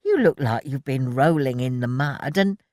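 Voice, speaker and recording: A woman voicing a brisk, fussing old grandmother over sixty. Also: extremely low pitch, animated, a little mellow, no echo, clean studio sound.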